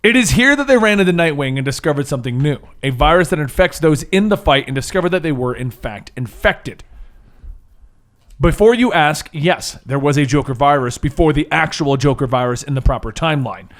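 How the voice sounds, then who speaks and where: A man's voice: fairly high pitch, expressive, brisk. A man acting as game master, narrating a scripted superhero campaign recap with casual banter.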